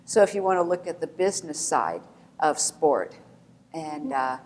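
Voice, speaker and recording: A woman professor from Colorado explains her field to a panel audience, pausing with fillers along the way. Her voice is a bit low for a woman. The room adds a long hall reverb. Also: very animated, darkish tone. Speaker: professor and department chair. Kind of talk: panel discussion.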